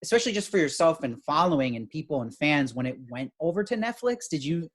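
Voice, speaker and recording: A man hosting an interview over a video call, upbeat and quick to praise his guest. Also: fairly high pitch, big pitch swings. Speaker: interview host. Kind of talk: casual interview chat.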